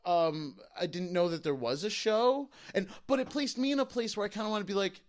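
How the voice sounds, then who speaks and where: A man's voice: high voice, brisk. A man co-hosting a podcast, telling a story casually and comically in a rambling, crude way. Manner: rambling, profane, repeats "like". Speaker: podcast co-host.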